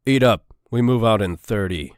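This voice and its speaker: A man's voice: lowish voice, normal speed, no room sound, studio quality, close to an everyday voice. A man voicing a stern, broad army sergeant giving orders in an audiobook. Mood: stern, commanding. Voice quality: stern, commanding.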